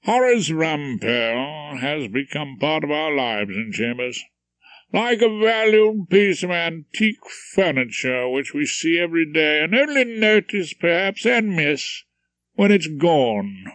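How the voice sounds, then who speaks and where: A man's voice: on the high side, big pitch swings, fairly bright tone, no echo, pitched above the performer's own voice. A man voicing a pompous middle-aged male head of a barristers' chambers who draws out his speechmaking. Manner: drawn-out, self-important speechmaking.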